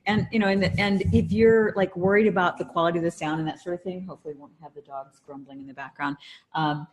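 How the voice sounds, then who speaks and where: A middle-aged woman with a distinctly low voice talks as a podcast co-host. Her delivery is casual and conversational, with an earnest, explanatory manner. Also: fairly even intonation, quick pace, rough recording.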